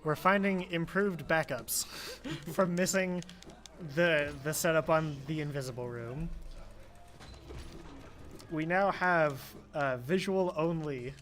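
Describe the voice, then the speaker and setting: A man's voice: on the high side, slow pace, long pauses, a bit bright. A young man giving casual, joking commentary while he plays a game, laughing often. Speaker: speedrunner at a charity marathon. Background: game music.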